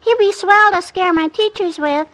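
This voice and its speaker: Very high-pitched eager little girl. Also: moderate pace, fairly bright tone. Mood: eager, pleading. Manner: childish, pleading, inquisitive. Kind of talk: 1950s radio comedy acting.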